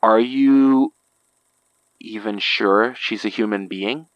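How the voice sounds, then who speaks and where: A wary, level-headed detective in a horror audio drama. He speaks low and tersely, with blunt understatement, in a dry close recording. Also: takes it slowly, dry recording, highs cut off. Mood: hesitant.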